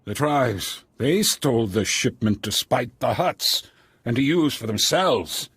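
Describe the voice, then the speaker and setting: Solemn, husky alien chief. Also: male voice, medium pitch, expressive, a bit bright, no echo, exaggerated voice. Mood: grave. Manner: formal, measured, ceremonious. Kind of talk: video game dialogue.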